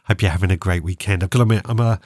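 Congested male podcast host, low voice, casual rambling gardening chat, dry close mic. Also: rapid-fire, darkish tone, no room sound. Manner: rambling, self-correcting, chatty. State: congested, sore throat and cough.

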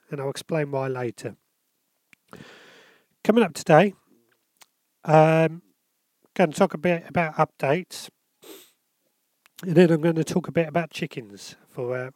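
A man's voice: a little high, darkish tone, dry recording. Congested man hosting a podcast. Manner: rambling, lots of ums and self-corrections. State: bunged up with a cold. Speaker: podcast host. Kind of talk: casual solo podcast.

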